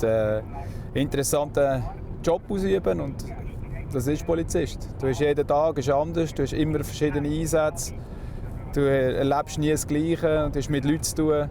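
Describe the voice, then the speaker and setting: A man with a Swiss German accent, a motorway police officer explaining his job casually in a moving car. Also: a little high. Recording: clear vehicle road noise.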